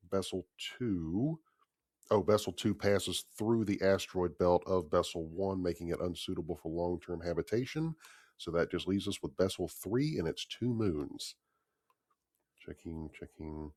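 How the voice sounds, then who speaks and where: Low-voiced man with dry delivery. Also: unhurried, a little mellow, dry recording. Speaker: gaming video creator playing a captain. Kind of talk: in-character narration over gameplay. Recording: clear background noise, game ambience.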